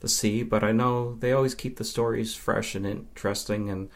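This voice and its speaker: A man's voice: fairly low pitch, fairly even intonation, moderate pace. A man from Nova Scotia, Canada, chatting casually as an interview guest and film fan.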